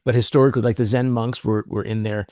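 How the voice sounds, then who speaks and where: Lower-voiced man guest, thoughtful and explanatory, dry close recording. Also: steady tone, fast, mellow, dry recording. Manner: thoughtful, explanatory, frequent "right?" and "um". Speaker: podcast guest, musician. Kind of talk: reflective interview talk.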